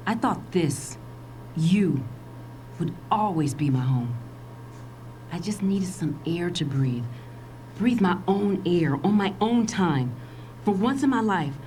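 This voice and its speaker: A low-voiced, middle-aged wife and mother played by an actress in an audio drama. She is defiant and sarcastic, her pitch swinging widely, with faint noise behind her. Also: darkish tone, poor audio. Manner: sarcastic, emphatic.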